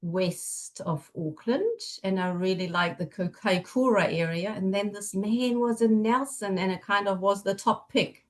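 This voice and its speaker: German woman, middle aged, german accent, medium pitch, podcast guest chatting casually about herself. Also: a little slow, hardly any pauses. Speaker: podcast guest, family constellation facilitator. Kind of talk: casual chat, personal storytelling.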